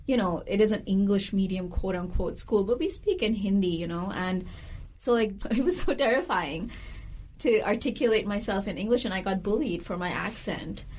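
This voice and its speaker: A woman's voice: medium pitch, moderate pace, darkish tone. A woman who grew up in India, hosting a podcast interview in an earnest, reflective way, with many fillers. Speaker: podcast host. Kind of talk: conversational interview.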